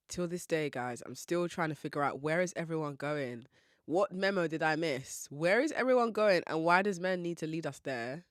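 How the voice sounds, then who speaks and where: A woman's voice: low-pitched, dry recording, clean studio sound. A young woman from hosting a solo podcast in a chatty, playful way, often laughing and asking listeners questions. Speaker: podcast host.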